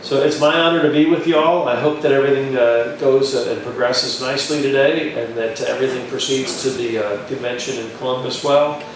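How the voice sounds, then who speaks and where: A man of middle pitch closes his remarks at a political convention, formal and thankful. His voice booms in a heavily echoing hall, caught from a distance. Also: fairly even intonation, brisk, few pauses. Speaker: convention speaker. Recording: echoey hall, distant mic, faint noise.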